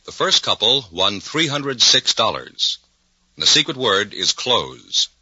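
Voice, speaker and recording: A man's voice: lowish voice, sing-song, slow pace, bright, forceful tone, breathy edge. A man announcing scores and contestants on a 1950s radio quiz show. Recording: dull 1950s radio, faint noise.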